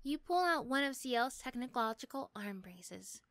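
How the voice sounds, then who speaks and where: A woman's voice: fairly high pitch, expressive, unhurried, fairly bright tone, clean tone, no echo. A woman reading scripted second-person narration for an ASMR roleplay.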